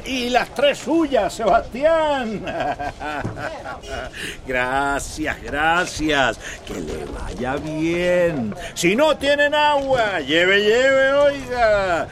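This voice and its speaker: An actor playing a jovial, grasping farmer who peddles water, in a radio soap opera. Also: male voice, high voice, very animated, very slow, exaggerated voice. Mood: jovial hawking. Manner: boisterous hawker with frequent hearty laughter. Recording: street bustle with crowd and vehicles.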